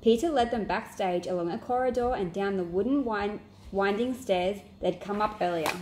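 Young woman, storybook reader, medium pitch, home camera sound with some background noise. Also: moderate pace. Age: young adult. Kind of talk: reading a children's novel aloud. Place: small indoor room at home.

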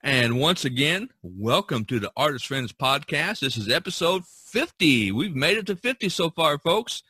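A man hosting a podcast in a conversational style, pausing often mid-sentence. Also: fairly high pitch, sing-song, pushed and bright, husky, no echo, clean studio sound. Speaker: podcast host.

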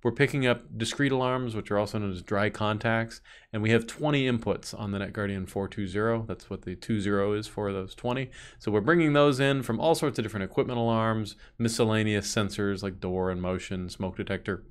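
Man in his thirties, slightly low voice, product presenter, orderly step-by-step explanation. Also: brisk, clear, resonant tone.

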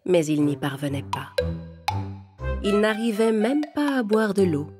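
A woman narrating a children's fable in a calm, clear storytelling manner. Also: mid-range voice, a little slow. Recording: music playing under narration.

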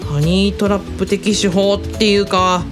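A woman's voice: mid-range voice, steady tone, moderate pace, music in the background, close to an everyday voice. A woman playing a polite, earnest and hesitant younger colleague in an audio drama. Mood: hesitant, apologetic. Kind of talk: Japanese audio drama dialogue.

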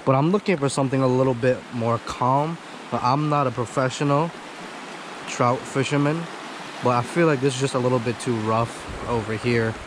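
Young man vlogging casually over rushing water. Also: mid-range voice. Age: young adult. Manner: laid-back, slangy, addresses viewers as guys. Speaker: vlog host, hobby angler. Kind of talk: casual outdoor vlog chat. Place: outdoors on a rocky riverbank. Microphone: action camera at arm's length or body-held. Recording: clearly noisy outdoor recording, rushing river. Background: rushing stream water.

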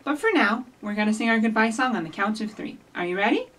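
Animated high-pitched woman leading children's storytime. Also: fast. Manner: animated, simple instructions addressed to young children. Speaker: children's librarian hosting storytime. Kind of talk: children's storytime with rhymes and read-aloud. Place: small indoor office or library room with block walls. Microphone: camera a few feet away. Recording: distant camera microphone.

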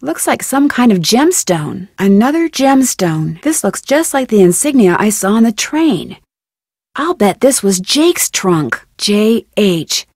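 A young woman with an American accent playing a curious, upbeat teenage girl detective in an adventure game, speaking in a clear, inquisitive way. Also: medium pitch, sing-song, takes it slowly, a little mellow, no room sound, clean studio sound, full character voice. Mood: musing. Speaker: video game voice actor. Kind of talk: scripted adventure game lines, thinking aloud.

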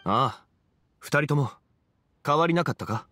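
Composed, eloquent scholar gentleman. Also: a little high, lively intonation. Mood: friendly, composed. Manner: measured, formal, eloquent. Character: calm, scholarly gentleman, ancient and refined. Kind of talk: Japanese anime game voice acting.

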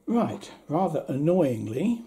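Man in his seventies, mellow gravelly tone, unhurried pace, wry casual reviewer, explaining as he goes. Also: on the high side, very animated, a little hiss or hum. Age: 70s. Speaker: audio equipment reviewer. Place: indoor room at home.

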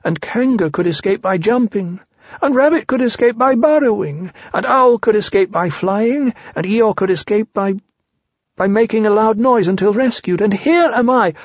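A man's voice: high voice, unhurried, no echo, pitched above the performer's own voice. Piglet, a man voicing a tiny fretful piglet. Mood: anxious. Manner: anxious, fretful, listing worries. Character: very small timid male piglet. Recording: dull lo-fi audiobook recording.

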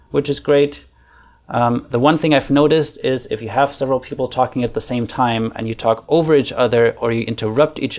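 A man in his thirties with a German accent, chatting casually through a screen-share software tutorial from a room at home into a desk microphone, thinking aloud with fillers. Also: medium pitch, gravelly. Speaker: online facilitation trainer. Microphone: desk microphone on arm.

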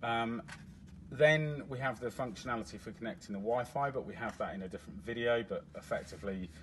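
A man's voice: medium pitch, brisk, a bit bright, rough recording. A man walking through a device's controls step by step, explaining in a plain, matter-of-fact way while he presses the buttons. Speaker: product demonstrator. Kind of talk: hands-on product walkthrough. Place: indoors at a table with a demo unit. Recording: noticeable background noise.

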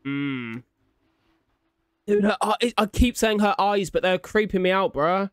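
A man's voice: sits high, expressive, unhurried, a bit bright, clear, resonant tone. Young man reacting, casual and slangy. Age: young adult. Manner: slangy, exclamatory. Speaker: reaction video host. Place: small indoor room at home. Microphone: desktop condenser microphone, close up.

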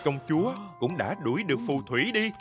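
Expressive, earnest little theatre truck, acted. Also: mid-range voice, brisk, over music, natural voice. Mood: storytelling. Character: shy, earnest little theatre truck, dreamy young puppeteer. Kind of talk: Vietnamese cartoon dub.

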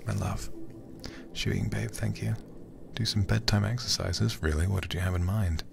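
A man streaming a game in ASMR style, murmuring in a hushed, breathy, gravelly whisper close to the microphone. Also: fairly low pitch, steady tone, moderate pace, over music. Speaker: livestream host, gamer.

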